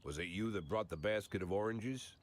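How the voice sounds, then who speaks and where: An actor playing a weary, hard-drinking older cop, dry and sardonic, in a noir video game. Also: male voice, on the low side, fairly quick, close to an everyday voice. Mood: curt. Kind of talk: scripted noir narration and dialogue.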